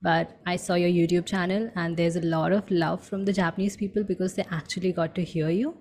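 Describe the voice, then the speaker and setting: A woman's voice: fairly low pitch, steady tone, fairly quick, a little mellow. A woman hosting an interview podcast, asking long rambling questions and full of compliments. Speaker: podcast host.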